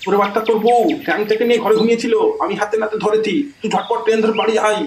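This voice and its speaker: A performer voicing a cantankerous, foul-mouthed older village father in a comic cartoon, scolding and bossy, with a pitched-up effect. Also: little pitch movement, racing. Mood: angry, scolding. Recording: echoey, noisy cartoon soundtrack.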